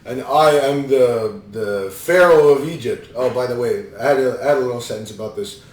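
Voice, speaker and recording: A man's voice: mid-range voice, normal speed, a little mellow. A man hosting a podcast, giving casual, rambling and incredulous movie commentary. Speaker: podcast host reviewing a movie. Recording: echoey room, distant mic.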